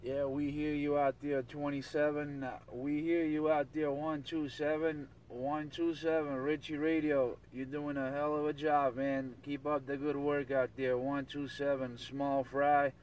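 A man's voice: a little high, little pitch movement, a little slow, few pauses, darkish tone. A man chatting on CB radio, chanting repeated phrases and call signs. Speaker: CB radio operator. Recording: noisy CB radio, partly far from mic.

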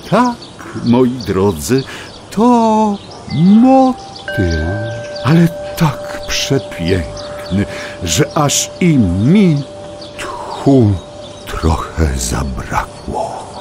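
An actor playing a whimsical storyteller, narrating a children's audio fable with dramatic, savoring pauses. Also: male voice, medium pitch, huge pitch swings, music in the background, heavily acted. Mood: wonder, storytelling.

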